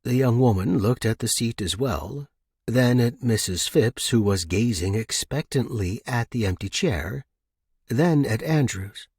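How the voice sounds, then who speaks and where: A man's voice: mid-range voice, expressive, unhurried, darkish tone, breathy edge, no echo, clean studio sound. A man reading scripted fiction as an audiobook narrator.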